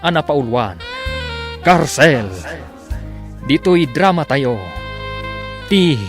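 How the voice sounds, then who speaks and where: A man's voice: on the high side, lively intonation, very slow. A man with an Ilocano accent announcing and narrating a radio drama serial in a dramatic scripted style. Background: music bed under the voice.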